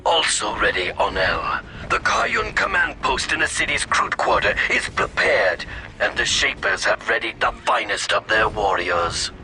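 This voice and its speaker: Dramatic, resolute alien commander. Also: male voice, fairly low pitch, sing-song, a little slow, pushed and bright, soft background music, heavily acted. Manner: formal, crisp military reporting, deferential. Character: loyal, resolute male alien military commander reporting. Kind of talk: sci-fi strategy game voice acting.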